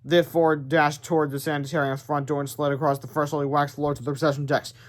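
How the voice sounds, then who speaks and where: A man's voice: a little high, little pitch movement, fairly quick. A man narrating a children's storybook aloud in a theatrical, informal way.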